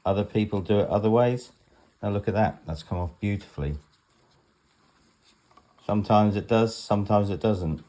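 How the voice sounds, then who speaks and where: A man's voice: on the low side, normal speed, pauses often, dark tone. A man giving a casual home demonstration in a rambling, matter-of-fact way, like a vlogger in his kitchen.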